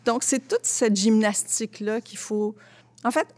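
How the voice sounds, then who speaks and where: A woman's voice: fairly high pitch, measured pace, darkish tone. Woman with Quebec French accent, reflective. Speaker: interview guest, theatre translator. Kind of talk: reflective, conversational interview answers.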